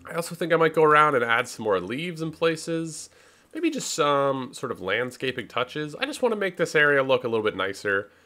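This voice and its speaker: A man from Canada with a deep voice giving casual let's play commentary, musing aloud with wry, self-deprecating asides. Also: lively intonation, normal speed, pushed and bright. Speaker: gaming YouTuber, host.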